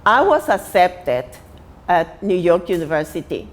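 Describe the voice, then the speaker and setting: A woman in her sixties from Puerto Rico, with a Puerto Rican accent, telling her life story as an artist and printmaking teacher. She speaks in an unhurried way, with many pauses and restarts. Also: lowish voice, very animated. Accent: Puerto Rican Spanish. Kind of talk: personal life story told to camera.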